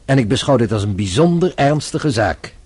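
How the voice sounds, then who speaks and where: An actor playing a shrewd, composed French police inspector in a radio play, speaking formally with measured insistence. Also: male voice, medium pitch, lively intonation, darkish tone, breathy edge. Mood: earnest, reassuring.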